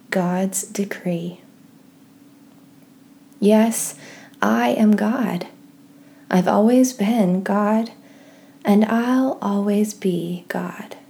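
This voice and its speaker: A woman leading a guided meditation and scripture reading in a gentle, soft-spoken, measured way with pauses. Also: mid-range voice, vocal fry. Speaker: host of a guided prayer podcast.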